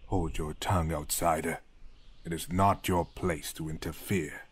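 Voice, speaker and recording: An actor playing a stern, self-righteous village man in an ASMR roleplay, speaking in a formal, measured, condescending way. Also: a bass voice, fairly even intonation, slightly rough, heavily acted. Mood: stern, disdainful.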